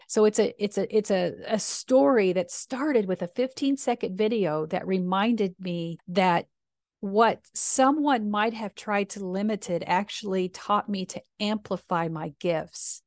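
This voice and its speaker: A woman minister with a deep voice, speaking earnestly and reflectively in slow, deliberate phrases. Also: darkish tone, no echo, clean studio sound. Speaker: minister and podcast host. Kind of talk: reflective personal talk.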